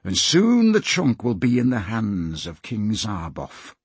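A man acts out a gloating pirate villain for a storybook. The voice is very husky and rough-edged, swinging up and down as he taunts and threatens in coarse, ungrammatical speech. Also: lowish voice, wildly swooping pitch, a little slow, dry recording, full character voice. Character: menacing, gloating grown male pirate villain with a knife.

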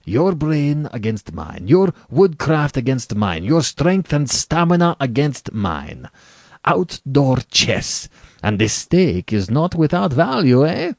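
A man's voice: on the low side, very animated, a little slow, a little mellow, no room sound, studio quality, heavily acted. A man voicing a suave, falsely courteous aristocratic general and cruel hunter in a storybook, with a courtly, condescending, theatrical manner. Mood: gleeful, challenging. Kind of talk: dramatic storybook reading.

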